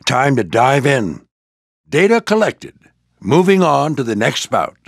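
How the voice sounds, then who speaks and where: An older American man voicing a proud, gruff old military plane who mentors a squadron in a video game, with a gravelly voice and a commanding, folksy manner. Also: medium pitch, big pitch swings, unhurried, no echo, studio quality, heavily acted. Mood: determined, urgent. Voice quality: gravelly, husky. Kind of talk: scripted video game character lines.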